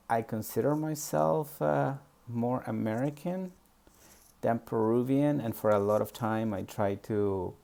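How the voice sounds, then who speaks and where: A man's voice: medium pitch, creaky, dark tone. A man from Peru with a Spanish accent, telling his life story in a hesitant, reflective way with many fillers. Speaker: memoir storyteller. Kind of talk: personal reminiscence.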